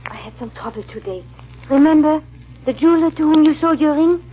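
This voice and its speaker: A woman's voice: high voice, brisk, a little hiss or hum, lightly acted. A woman playing a loyal, worried maidservant in a 1940s radio drama, heard on a muffled old broadcast recording. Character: loyal maidservant companion, worried but stubbornly devoted.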